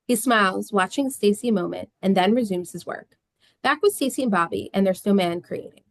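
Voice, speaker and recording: A woman's voice: mid-range voice, fairly quick, no echo. A woman reading stage directions as scripted narration at a table read.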